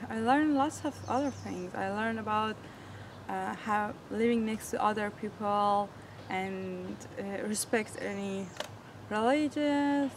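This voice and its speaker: A young woman from Iran speaks in an earnest, searching way, with a mid-range voice. She feels for words in an as she shares her story. Traffic noise runs clearly behind her. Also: medium pitch. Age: 20s. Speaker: interviewee. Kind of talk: personal storytelling.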